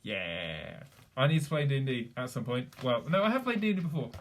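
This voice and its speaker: A man's voice: mid-range voice, sing-song, fairly quick. A young man chatting casually on a live stream, rambling, swearing freely and laughing often. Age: young adult.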